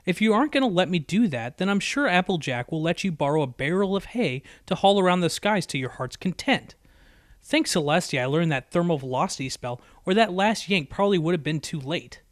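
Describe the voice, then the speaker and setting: A man's voice: high voice, lively intonation, a little fast, dry recording, clean studio sound. A man voicing a bookish young female unicorn pony in an audiobook, with a precise, lecturing and easily exasperated manner. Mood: annoyed, lecturing. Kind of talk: acted audiobook dialogue.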